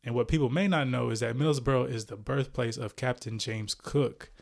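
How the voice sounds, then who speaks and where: A man's voice: medium pitch, normal speed, gravelly. A man in his forties hosting a podcast, reading a guest's introduction in a chatty, playful way, with fillers and self-corrections. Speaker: podcast host.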